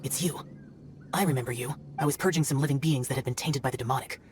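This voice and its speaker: A woman's voice: very deep voice, fast, a little mellow, slight background noise. A woman playing an aloof male immortal yaksha who hunts demons, speaking formally and tersely in video game dialogue. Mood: solemn, aloof. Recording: music behind the voice. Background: game music.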